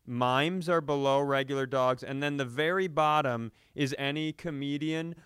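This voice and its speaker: Smooth, dry-sounding man riffing comically. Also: medium pitch, steady tone, moderate pace, fairly bright tone, no room sound. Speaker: comedy podcast co-host.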